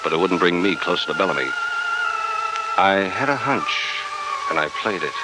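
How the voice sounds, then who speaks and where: An actor playing a hard-boiled American adventurer in 1940s radio style, clipped, tough and wry. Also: male voice, low-pitched, lively intonation, normal speed, loud music behind the voice. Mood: cool, tough. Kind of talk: 1940s radio acting, scripted first-person narration. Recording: very noisy 1949 radio transcription.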